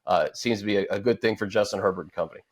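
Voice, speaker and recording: Low-voiced man hosting sports talk. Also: racing. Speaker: sports show host. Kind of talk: casual sports panel talk.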